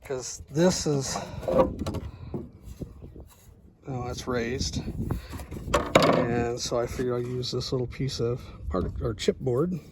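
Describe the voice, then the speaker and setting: A middle-aged man talking through a DIY job in a casual, halting, plain-spoken way, thinking out loud. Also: mid-range voice, heavy background noise. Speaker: truck driver, DIY vlogger.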